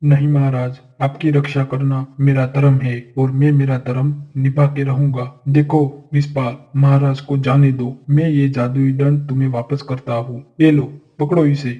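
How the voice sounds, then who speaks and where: Husky, earnest royal courtier played by a man, muffled. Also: medium pitch, level tone, measured pace, hardly any pauses. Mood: urgent, resolute. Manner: formal, solemn, earnest. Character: noble, earnest men of a royal fairy-tale court. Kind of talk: Hindi animated fairy-tale acting.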